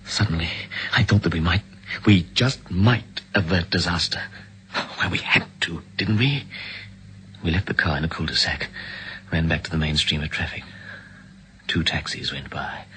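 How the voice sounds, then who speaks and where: A man's voice: low voice, normal speed, long pauses, pushed and bright, slight background noise, low-quality recording. An actor with a British accent narrating and playing a driven man in a vintage radio drama, clipped and urgent. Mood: grim. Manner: urgent and clipped in scenes, grim when narrating. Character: driven British man racing to stop a bomb. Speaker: narrator and lead character.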